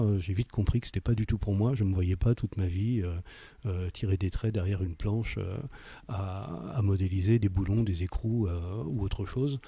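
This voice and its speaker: A man's voice: lowish voice, warm tone, breathy edge. A man, recalling his life as an interview guest in a hesitant way, searching for words and repeating himself. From Paris, France. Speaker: interview guest, leather craftsman. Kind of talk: reflective autobiographical interview talk.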